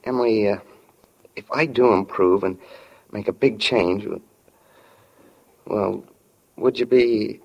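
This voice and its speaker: A man playing an earnest, nervous small-town young bridegroom, halting and boyish, in old-time radio drama style. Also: on the low side, vocal fry, heavily acted. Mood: shy, earnest. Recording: dull old radio recording.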